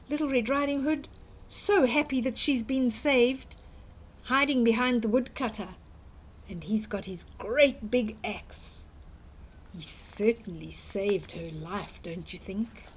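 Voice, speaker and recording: Female children's storyteller: very lively, unhurried, slightly husky, dramatic read-aloud with asides to the listener. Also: on the high side. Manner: dramatic, asks the listener questions, exclaims. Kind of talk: expressive fairytale read-aloud for young children.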